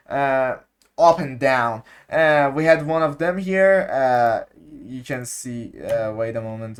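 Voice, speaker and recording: Man, slow halting delivery, lots of fillers, somewhat high voice, talking through an editing tutorial. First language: Persian. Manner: halting, many fillers, searching for English words. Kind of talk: unscripted screen-recorded tutorial.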